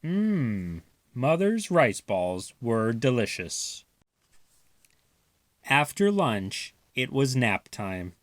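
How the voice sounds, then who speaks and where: A man narrating a children's storybook aloud with deliberate phrasing for young listeners. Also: mid-range voice, very animated, dry recording. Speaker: storybook reader.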